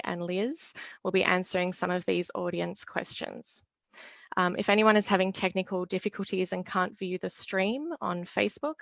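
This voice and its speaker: A woman's voice: on the low side, vocal fry, a bit bright, dry recording, poor audio. A woman hosting an online event, reading a formal introduction with some "um" and "uh" fillers. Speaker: event host, solicitor.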